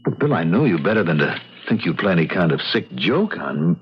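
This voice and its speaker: A man's voice: low voice, big pitch swings, a little fast, husky, full character voice. An actor playing an anguished, agitated man who has lost his hand, in old-time radio drama style. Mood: shocked. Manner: agitated, despairing, emphatic. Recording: muffled old radio broadcast.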